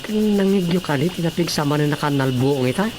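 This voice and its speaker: A woman's voice: low voice, animated, fairly quick, noisy background. A woman playing an earnest, insistent young fisherman boy in a radio drama. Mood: eager.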